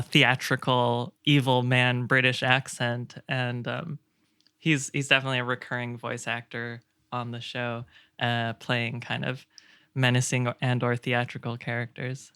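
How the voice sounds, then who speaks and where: A man's voice: medium pitch, pushed and bright, clean tone, dry recording, clean studio sound. A man in a casual podcast discussion, musing as he goes.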